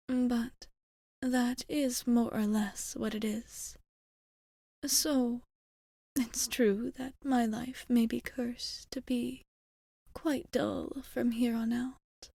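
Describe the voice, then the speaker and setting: A woman voicing an ageless, refined vampire girlfriend in an intimate ASMR roleplay, speaking softly in a breathy half-whisper with formal, measured phrasing. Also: fairly high pitch, no room sound, full character voice. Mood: wistful, hesitant.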